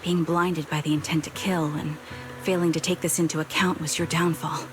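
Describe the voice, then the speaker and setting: An actress playing a resolute young sniper in a video game, with a calm, measured and formal delivery. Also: female voice, low-pitched, lightly acted. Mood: composed. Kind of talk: dramatic video game voice acting. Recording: music behind the voice.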